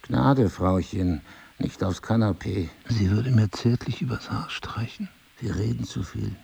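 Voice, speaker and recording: Casting: sardonic, suspicious amnesiac husband for audio drama, gravelly, mellow-dark delivery, articulate and probing. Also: male voice, on the low side, unhurried, full character voice. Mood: playful, seductive. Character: brooding amnesiac husband, a sharp-witted, suspicious crime writer. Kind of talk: intimate audio drama dialogue.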